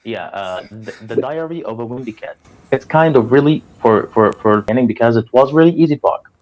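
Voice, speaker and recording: A man's voice: mid-range voice, expressive, a little fast, a little mellow. A young Egyptian man with an Egyptian accent, a native Arabic speaker, talking as a guest in an earnest way and filling his speech with "like" and "you know". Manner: earnest, filler-heavy with frequent "like" and "you know". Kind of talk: casual conversation. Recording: slightly dull, muffled audio.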